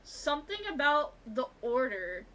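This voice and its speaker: Excitable high-pitched woman streamer. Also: measured pace, poor audio. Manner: excitable, sweary, exclamatory. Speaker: streamer reacting to a game. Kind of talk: casual live commentary. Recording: game music behind.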